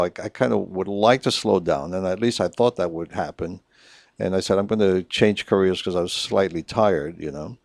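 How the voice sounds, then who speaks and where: A man's voice: low-pitched, gravelly, darkish tone, dry recording, clean studio sound. A middle-aged man from Puerto Rico, a reverse mortgage consultant chatting as a radio guest in an easygoing, agreeable way. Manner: affable, agreeable, quick to chime in. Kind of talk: casual radio interview.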